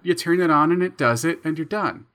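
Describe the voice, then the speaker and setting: A man's voice: on the high side, fairly quick, bright, forceful tone. A young man co-hosting a casual podcast chat, explaining his sound design habits in a thoughtful, self-deprecating way. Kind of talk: casual conversation.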